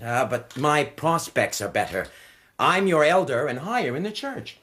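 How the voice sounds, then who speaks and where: An actor playing a pompous, menacing young religious zealot in a radio drama, speaking formally. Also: male voice, a little high, very animated, moderate pace, fairly bright tone, breathy edge, full character voice. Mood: smug. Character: pompous, menacing young church elder's son, pious suitor.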